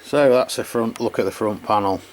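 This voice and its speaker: A man with a low-pitched voice talks casually through a hands-on product review. His delivery is unscripted and matter-of-fact. There is noticeable noise behind him. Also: normal speed. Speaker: radio equipment reviewer. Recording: clear background noise.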